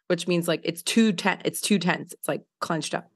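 Hoarse woman telling a chatty story. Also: lowish voice, moderate pace. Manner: chatty, laughs often, many fillers like "like". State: hoarse from recent illness. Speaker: podcast co-host. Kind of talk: casual storytelling chat. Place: home living room by windows. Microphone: large studio mic on video call.

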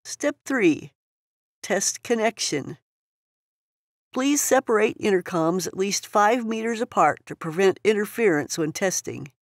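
A woman's voice: medium pitch, expressive, measured pace, no room sound, studio quality. A woman reading scripted instructional narration for a product tutorial.

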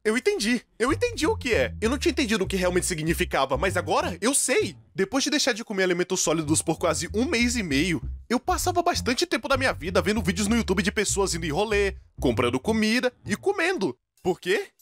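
A man with a Brazilian accent telling a comedic first-person story as a voice-over in an animated, conversational style. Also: sits high, fast, husky.

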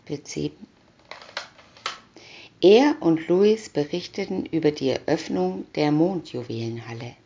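Woman reading a kids comic story, really slow, gravelly voice, kinda dull lo-fi sound. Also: low-pitched, warm tone. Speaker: reader of picture-story booklets. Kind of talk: scripted narration of a children's comic story. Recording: dull lo-fi recording.

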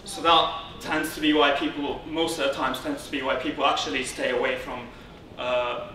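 A man from Syria giving an informal technical conference talk as a speaker, often halting and rephrasing as he goes. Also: mid-range voice, flat delivery, bright, forceful tone. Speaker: conference speaker, software consultant. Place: indoor darkened conference room, on stage beside a lectern. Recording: roomy, distant, noisy room sound.

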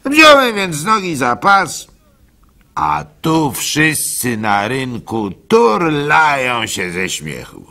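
An actor playing a gullible, good-natured village simpleton who tells his own story haltingly in a radio monologue, with a husky, gravelly voice. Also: on the high side, wildly swooping pitch, bright, forceful tone, exaggerated voice. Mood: animated, self-justifying. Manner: halting, stammering repeats, chuckles, acts out hecklers.

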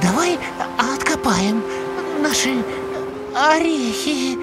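An actress voicing a chirpy, restless young male rabbit who talks excitedly. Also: high voice, slow, loud music behind the voice.

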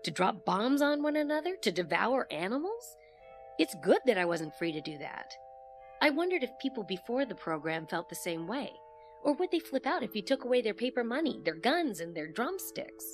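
A woman's voice: a little high, very animated, moderate pace. A woman playing an earnest, sceptical young confidante in an audio drama, speaking in a reflective, questioning way. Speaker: narrator and actor in an audio drama. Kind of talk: audio drama dialogue and first-person narration. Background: music.

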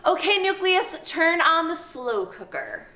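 A woman's voice: very high voice, huge pitch swings, unhurried, sharp tone, exaggerated voice. A woman in her thirties playing a wry, matter-of-fact wife and mother in a commercial. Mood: commanding. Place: home kitchen, indoors. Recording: echoey kitchen, faint background noise.

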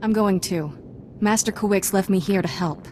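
Woman playing a steady, mid-pitched young heroine. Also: moderate pace, slight background noise, natural voice. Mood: earnest. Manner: earnest, steady. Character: calm, dutiful young female Jedi knight hero. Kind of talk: video game voice acting.

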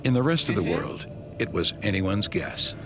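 A man's voice: on the low side, lively intonation, normal speed. A man reading scripted, dramatic narration as the narrator of a documentary. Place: voice-over booth. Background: background score music.

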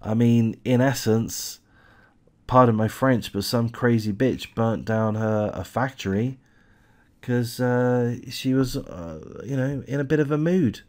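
A man's voice: mid-range voice, slow pace, darkish tone. A man hosting a true crime channel, casually reading a news article aloud with lots of hesitant fillers and restarts, and giving blunt opinions.

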